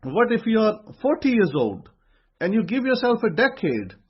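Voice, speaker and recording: Male teacher, husky and a bit high-pitched, repetitive and emphatic, dull lo-fi sound. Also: sing-song, moderate pace. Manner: emphatic, repeats phrases while building a point. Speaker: religious teacher. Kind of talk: motivational religious talk. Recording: dull lo-fi recording.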